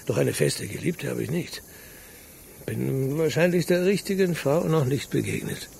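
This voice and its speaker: An actor in a German radio drama playing an earnest, proud city guard colonel of humble birth, gruff and plain-spoken. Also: male voice, fairly high pitch, normal speed, a little mellow, a little hiss or hum. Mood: defensive.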